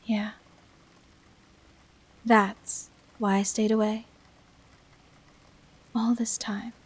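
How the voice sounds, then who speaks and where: A woman playing a bitter, protective fallen hero turned villain in an emotional confessional audio roleplay, speaking deliberately with dramatic pauses. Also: mid-range voice, little pitch movement, slightly rough, poor audio. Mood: subdued, regretful. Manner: deliberate, dramatic, pausing between short phrases.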